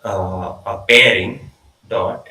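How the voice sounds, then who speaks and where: A man's voice: low-pitched, drawn-out words, pushed and bright, far from the mic. A young man giving an informal online maths lecture from a small room, repeating words and trailing off as he explains. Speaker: student lecturer. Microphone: laptop mic on video call. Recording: echoey video call audio.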